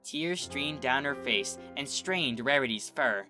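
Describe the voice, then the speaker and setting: A man's voice: medium pitch, lively intonation, takes it slowly, over music. A man reading a fanfiction story aloud as the narrator and channel host.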